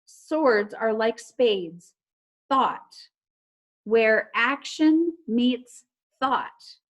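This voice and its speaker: A woman's voice: on the high side, animated, drawn-out words. A woman voicing a thoughtful young storybook boy who puzzles out clues emphatically and insistently. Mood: excited realization.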